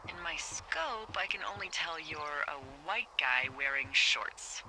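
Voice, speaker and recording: Over a walkie-talkie with clear noise behind it comes a middle-aged American woman who supervises fire lookouts. She is playfully sardonic and conversational, teasing in an easy, natural video game style. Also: sits low, expressive, unhurried, bright, forceful tone, full character voice, poor audio. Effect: walkie-talkie radio. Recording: radio sound with clear background noise.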